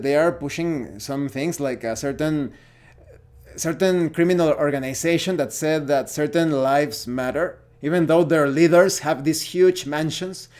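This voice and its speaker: A man's voice: fairly high pitch, darkish tone. A man, a Spanish speaker, giving an unscripted opinion monologue as host of a gaming channel, often searching for words. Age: 40s.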